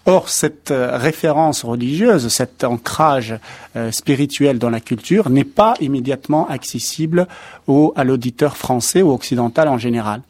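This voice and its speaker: Measured academic man explaining. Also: medium pitch, a little mellow. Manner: measured, academic, with frequent hesitations and restarts. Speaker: expert guest on translation. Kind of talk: explanatory interview answers.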